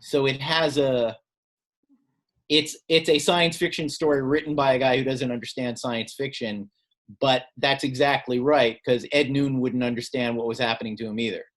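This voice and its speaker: A man's voice: mid-range voice, fairly even intonation, moderate pace. A man telling anecdotes casually as a guest reader at a literary event, speaking over a video call. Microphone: video call microphone.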